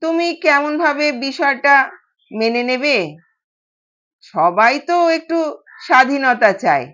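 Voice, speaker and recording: Young husband, played by a female storyteller, sympathetic and questioning, high voice, unhurried, slightly lo-fi. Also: animated, fairly bright tone, heavily acted. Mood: concerned doubt. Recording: dull, lo-fi sound.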